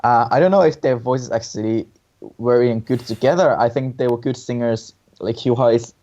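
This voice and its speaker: A man's voice: mid-range voice, brisk, mellow. A man co-hosting a casual review chat, giving opinions hesitantly and often repeating his words. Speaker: co-host reviewing song contest entries. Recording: dull lo-fi sound.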